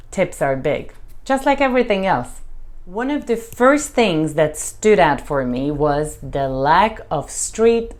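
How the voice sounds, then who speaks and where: Low-voiced Hungarian woman vlogging. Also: a little mellow. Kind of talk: casual talk to camera.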